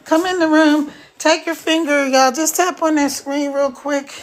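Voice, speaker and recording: Older woman with a scratchy, hoarse voice. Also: sits high, steady tone, unhurried. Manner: chatty, folksy, addresses viewers as y'all. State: hoarse after two hours of talking. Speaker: live streamer. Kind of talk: casual live-stream chat. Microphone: phone.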